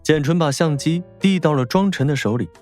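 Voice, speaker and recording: A man's voice: fairly high pitch, a little fast, a little mellow. A man narrating a novel as a scripted, dramatic audiobook. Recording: faint music behind.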